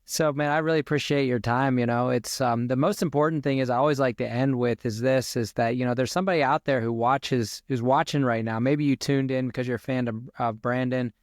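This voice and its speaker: Earnest preaching host in a headset. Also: male voice, medium pitch, steady tone, brisk, few pauses, no echo, studio quality. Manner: earnest, preaching tone in closing address to listeners. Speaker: podcast host and minister. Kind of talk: podcast interview ending in a prayer. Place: home office with bookshelf. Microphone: over-ear headset.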